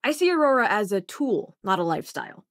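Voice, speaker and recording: An actress voicing a cynical, dry-witted spacefaring hacker in a video game, with a sardonic, conversational manner. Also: female voice, mid-range voice, very animated, fast, no echo. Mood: matter-of-fact. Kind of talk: video game companion voice lines.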